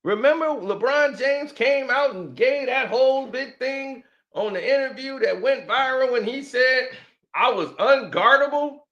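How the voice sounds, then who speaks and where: A man's voice: very high-pitched, unhurried, fairly bright tone, muffled recording. A man giving a heated, mocking sports commentary rant that repeats its points.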